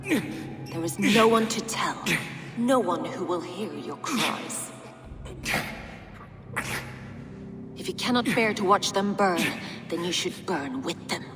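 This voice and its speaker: An actress playing a zealous abbess villain in a video game, solemn and measured, preaching with righteous menace. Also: female voice, mid-range voice, animated, lightly acted. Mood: cold, righteous. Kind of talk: dramatic video game acting. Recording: long reverberant hall, loud music behind.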